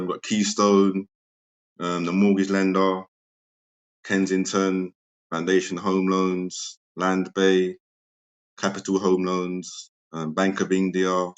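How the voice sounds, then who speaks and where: A man, a property investor, calmly walking through his deal strategy over a remote audio chat, with a lot of ums. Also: low-pitched. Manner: steady, methodical, many ums. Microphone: remote audio-chat connection.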